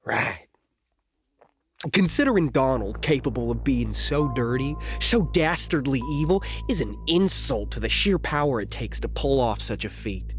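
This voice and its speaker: A woman's voice: very deep voice, sing-song, normal speed, faint music behind. A woman playing a scornful, defiant western troupe member in an audio drama. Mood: cold, defiant.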